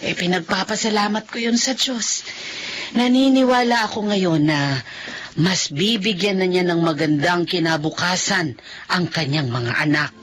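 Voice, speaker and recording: A woman's voice: fairly low pitch, a little slow, natural voice. A woman playing a kindly, devout elderly grandmother in a Filipino radio drama, speaking in a folksy, motherly way. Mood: gentle. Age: older. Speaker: mother of the heroine.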